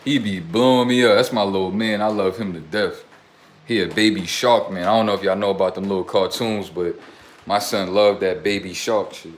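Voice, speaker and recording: A man's voice: fairly low pitch, steady tone, normal speed. A young man casually livestreaming to his chat, talking in loose streetwise slang, blunt and preachy, rambling from one topic to the next. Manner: rambling, drifts between topics. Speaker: self-styled life coach.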